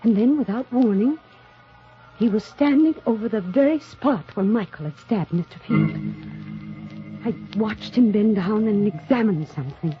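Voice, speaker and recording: A poised actress as a woman novelist in an old radio thriller, secretive and tense beneath her composure. Her voice sits at an average pitch, on a dull, aged recording with soft music behind it. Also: moderate pace, natural voice. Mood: tense, suspenseful. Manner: guarded in dialogue, suspenseful in narration. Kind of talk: 1950s radio drama acting.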